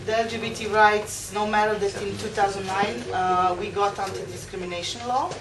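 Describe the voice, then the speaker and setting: Halting woman presenting from afar. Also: medium pitch, steady tone, a crowd in the background. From Serbia. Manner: halting, many fillers. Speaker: conference presenter. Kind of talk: giving a presentation. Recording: echoey hall, distant mic, loud background noise.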